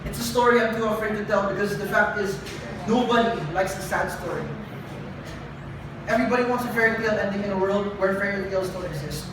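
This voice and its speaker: A young adult poet performing confessional spoken word live, with a dramatic, deliberate delivery and emphatic repetition. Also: medium pitch. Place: live event venue with room ambience. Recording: echoey live room, distant mic, noisy.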